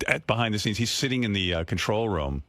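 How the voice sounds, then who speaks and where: Wry low-voiced man telling a story. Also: animated, fast talker, fairly bright tone. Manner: wry storytelling, plays clips. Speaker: radio show host. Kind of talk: casual chat.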